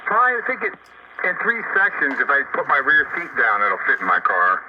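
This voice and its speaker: A man runs a radio net in casual chat. His voice comes through muffled and dull, with clear static noise around it. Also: medium pitch, huge pitch swings, brisk. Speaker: amateur radio net host. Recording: noisy, muffled two-way radio transmission.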